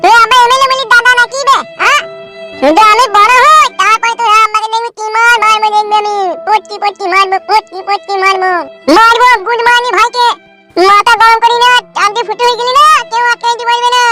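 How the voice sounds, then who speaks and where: Angry little cartoon boy, extremely high voice, quick scolding, brash threats, loud music behind. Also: fairly even intonation. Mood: angry, threatening. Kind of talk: Bengali cartoon comedy dialogue.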